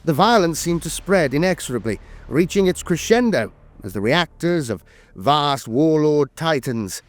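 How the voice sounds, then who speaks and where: A man's voice: fairly high pitch, expressive, normal speed. A man reading scripted war lore as a narrator, in a formal, dramatic storytelling manner with the occasional hesitation.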